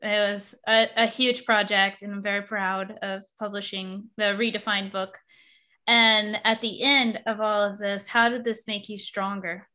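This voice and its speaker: A woman's voice: mid-range voice, flat delivery, measured pace, sharp tone. A young adult woman hosting a podcast interview, talking eagerly in long, rambling sentences with restarts. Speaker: podcast host.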